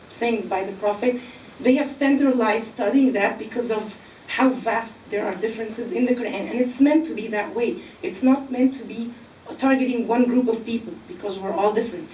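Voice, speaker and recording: A woman's voice: fairly high pitch, a little mellow, slight background noise. Egyptian-born woman, echoey distant panel mic. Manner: earnest, explanatory, with filler pauses. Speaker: panel speaker. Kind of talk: panel discussion. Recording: echoey room, mic from afar.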